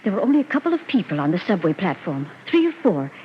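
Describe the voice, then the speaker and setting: Muffled old radio: female actor as rattled eyewitness, halting, dramatic, widely varied pitch. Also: very animated, close to an everyday voice. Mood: shaken. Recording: dull vintage radio recording, faint noise.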